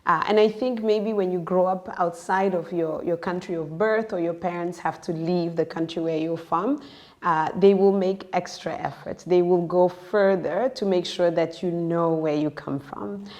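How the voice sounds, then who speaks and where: A woman born in Senegal and raised in the United States, speaking reflectively as a podcast guest, with halting, thoughtful phrasing. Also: on the low side, steady tone, a little mellow, lo-fi sound. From born in Senegal, grew up in the United States. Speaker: interview guest, entrepreneur. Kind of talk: reflective personal podcast conversation.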